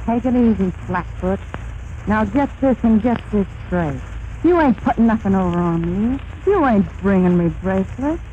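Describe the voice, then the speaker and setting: An actress playing a tough, flirtatious, wisecracking saloon vamp in a drawling, slangy manner, 1930s radio comedy style. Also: female voice, mid-range voice, animated, normal speed, dark tone, close to an everyday voice. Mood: sultry, cynical. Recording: muffled, noisy 1930s radio recording.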